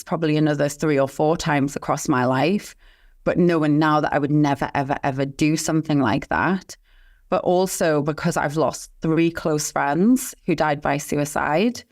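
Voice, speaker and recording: A woman's voice: sits low, a little mellow. A woman speaking as a guest expert in an interview, earnest and passionate, telling a personal story with conviction. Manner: earnest, passionate, talks of mission and calling. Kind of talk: candid interview talk.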